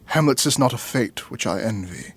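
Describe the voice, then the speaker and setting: An actor playing a middle-aged, ambitious royal brother and devoted lover in an audio drama, husky and formal, with measured, pompous phrasing. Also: male voice, mid-range voice, lively intonation. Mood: wistful, lofty.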